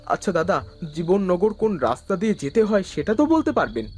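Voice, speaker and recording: A man playing a polite, easily startled office worker in an animated horror story. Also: high-pitched, brisk, faint music behind. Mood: polite, hopeful. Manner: earnest, startled, stammers when scared.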